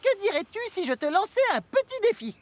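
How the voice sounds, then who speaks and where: A woman's voice: very high voice, big pitch swings, a little fast. A woman voicing an eager, kindly young male tank engine in a children's cartoon.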